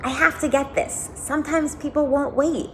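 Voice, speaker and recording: Woman reading as an earnest, hurried young boy. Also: sits high, fairly even intonation, a little hiss or hum, voice pushed up, lightly acted, poor audio. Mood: impatient, polite. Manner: short, polite, hurried phrases. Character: earnest young boy working in a woodworking shop.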